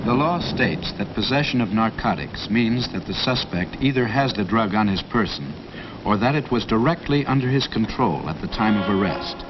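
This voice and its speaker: A man narrating a 1950s police training film in a formal, measured, authoritative way. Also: lowish voice, animated. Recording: dull old film soundtrack with loud hiss.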